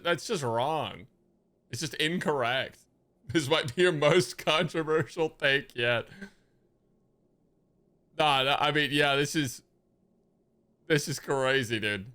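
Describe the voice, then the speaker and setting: A man's voice: medium pitch, measured pace, pauses often, bright, forceful tone. A young man live streaming his reaction to a game, chatting casually in slangy, emphatic language.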